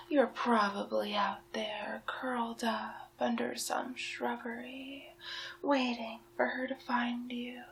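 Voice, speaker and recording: Woman acting as a medieval witch, soft ASMR whispering, extremely slow pacing, teasing and possessive, theatrical, slight background noise. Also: on the high side, heavily acted. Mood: mock sympathy. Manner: hushed, drawn-out, teasing, theatrical. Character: sly, possessive medieval witch holding a captive huntsman.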